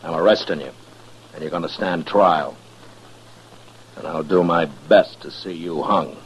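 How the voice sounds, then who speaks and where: A man's voice: deep voice, unhurried, slight background noise. A man acting a stern, world-weary US Marshal in a 1950s western radio drama, terse, grave and plain-spoken. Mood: stern, suspicious. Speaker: lead actor and first-person narrator. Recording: muffled old radio recording with music.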